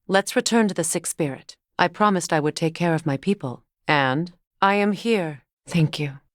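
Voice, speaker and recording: A woman playing a solemn, compassionate, determined ancient goddess in a video game, speaking in a measured, formal, earnest way. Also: lowish voice, no echo, clean studio sound, close to an everyday voice. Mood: resolute. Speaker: video game character voice actor. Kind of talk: dramatic game dialogue.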